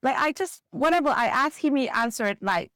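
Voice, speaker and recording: A woman from Vietnam, chatting as a podcast guest in lively, loosely phrased English. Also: high voice, normal speed, no room sound, clean studio sound. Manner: chatty, lively, often laughing, loose non-native grammar. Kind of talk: casual interview chat.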